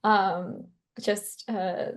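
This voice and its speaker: A woman's voice: medium pitch, fairly even intonation, very slow. A woman interviewing someone in a casual, informal and slightly tentative way, often saying "like".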